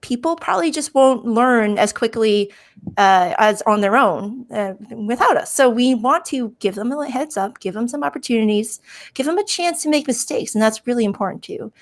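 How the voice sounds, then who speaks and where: A woman's voice: fairly high pitch, expressive, moderate pace. A woman whose first language is Chinese, talking candidly and with jokes as a guest on a casual panel conversation. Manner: upbeat, candid, joking. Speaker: guest, information security director.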